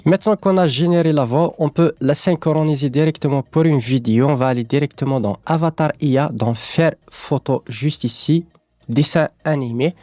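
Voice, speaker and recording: A man presenting a software tutorial, explaining things step by step. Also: on the high side, normal speed, no room sound.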